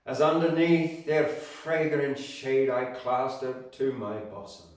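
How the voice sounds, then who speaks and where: A man doing a grieving Scottish lover reciting in a slow, declaiming lilt. He lingers heavily on every word. The voice rings out from a distance in a very echoey room. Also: mid-range voice, darkish tone, natural voice. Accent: Scottish, put on. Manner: slow, declaiming, raised and ringing. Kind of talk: reciting verse aloud. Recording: very echoey room, mic far off.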